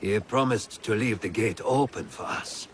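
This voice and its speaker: A man playing a stern samurai lord in a video game, speaking in a deliberate, formal way. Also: fairly low pitch, slight background noise, natural voice. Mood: purposeful. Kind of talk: video game cutscene voice acting.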